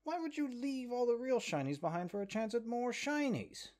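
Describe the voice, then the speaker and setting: A man's voice: extremely high pitch, very animated, moderate pace, darkish tone. A man voicing a haughty, exasperated magic book in a comedic audio roleplay, condescending and sarcastic. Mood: panicked, indignant. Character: exasperated, haughty talking cursed grimoire, a sentient magic book.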